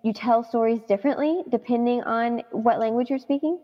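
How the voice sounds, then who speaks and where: A woman interviewer with a fairly high voice, asking curious, gentle questions and hedging softly. Her sound is dull and muffled, with a long reverb trailing behind it. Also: fairly quick. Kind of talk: casual interview chat.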